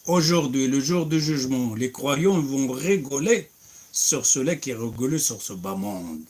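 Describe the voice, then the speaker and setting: A man's voice: medium pitch, lively intonation, unhurried, darkish tone. A man preaching and answering questions, emphatic, breaking into recited Arabic verses. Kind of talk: religious Q&A.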